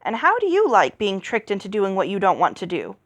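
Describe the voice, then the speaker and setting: Storybook heroine, read by a woman: young, plucky, direct, earnest. Mid-range, animated, dry recording. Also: medium pitch, a little fast, dry recording. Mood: defiant. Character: spirited young maiden heroine, plucky and defiant.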